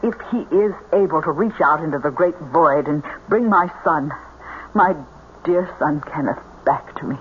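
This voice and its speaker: A woman's voice: low voice, moderate pace, close to an everyday voice. An actress playing a grieving, trusting mother in an old-time radio drama, hesitant and pleading. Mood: hesitant, sorrowful. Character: grieving, trusting mother seeking dead son. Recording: muffled, noisy old radio broadcast.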